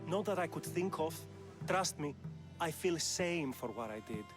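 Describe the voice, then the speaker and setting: Poor farmer caught thieving in a game, high voice for a man, defensive n pleading, music behind. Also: animated, a little slow. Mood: pleading, ashamed. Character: male poor Greek farmer turned horse thief, defensive, ashamed. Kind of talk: video game cutscene acting.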